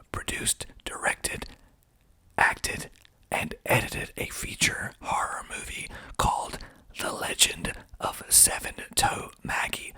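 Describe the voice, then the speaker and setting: A man from the southern United States whispering in a breathy, deliberate, conversational way as an ASMR channel host. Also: bright, forceful tone, rough recording. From southern United States (Georgia). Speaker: filmmaker hosting his own channel.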